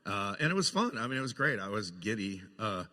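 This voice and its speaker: A man's voice: fairly low pitch, very animated, a little fast, bright, forceful tone. A man telling casual anecdotes as a guest on a panel about his film work. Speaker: guest puppeteer sharing film memories. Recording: echoey hall with long reverb.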